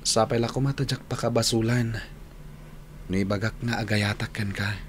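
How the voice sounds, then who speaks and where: A man's voice: lowish voice, fairly even intonation, normal speed, slight background noise, natural voice. A man playing a polite, nervous young suitor in a radio drama, with a Filipino accent and an earnest, hesitant manner.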